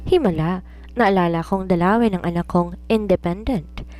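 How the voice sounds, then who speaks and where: A woman's voice: on the low side, moderate pace, darkish tone, lightly acted. Woman voicing a wry, reproachful widowed mother. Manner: pointed, mixes in English phrases.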